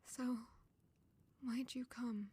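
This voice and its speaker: A woman's voice: on the high side, fairly even intonation, drawn-out words, mellow, breathy edge, full character voice. An actress playing a fragile young servant woman, grieving and full of self-doubt, in an emotional audio drama. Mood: doubtful, subdued.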